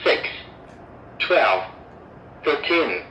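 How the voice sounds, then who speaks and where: A woman's voice: deep voice, lively intonation, extremely slow, highs cut off. An automated female voice from a walkie-talkie, giving clipped, robotic announcements through a small speaker. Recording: small device speaker, faint room noise.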